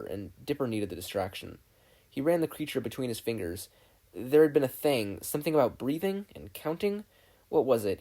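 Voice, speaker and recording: A narrator reading a fan fiction story aloud in an expressive, scripted style. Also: medium pitch. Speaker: podfic narrator.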